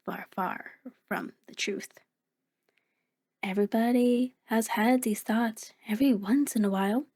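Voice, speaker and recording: Woman playing a kind mirror reflection, ASMR roleplay, very unhurried, slightly high voice, gentle, encouraging, hesitant. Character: kind, reassuring, playful living mirror reflection. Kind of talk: ASMR roleplay, addressing the listener.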